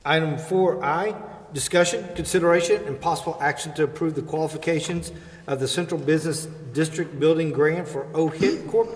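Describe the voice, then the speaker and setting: A man's voice: on the high side, fairly quick. A man chairing a city council meeting, going through formal procedure in an echoey chamber. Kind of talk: formal meeting procedure, reading agenda items. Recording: echoey hall, long reverb.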